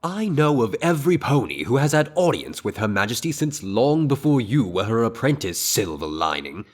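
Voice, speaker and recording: A man's voice: medium pitch, sing-song, breathy edge. Casting: male actor as dignified senior pony chamberlain, formal, reproving, very varied intonation, radio drama. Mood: indignant. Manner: formal, measured diction, reproving. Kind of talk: fan-made radio drama acting.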